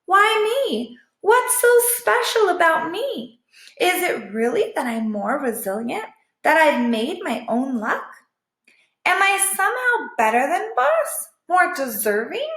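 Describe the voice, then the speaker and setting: A woman's voice: very high-pitched, sing-song, slow, fairly bright tone, pitched above the performer's own voice, heavily acted. A woman voicing a bitter, indignant small male dog in a storybook, speaking emphatically and with disbelief. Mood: wondering, self-doubting. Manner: emphatic, incredulous. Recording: echoey room.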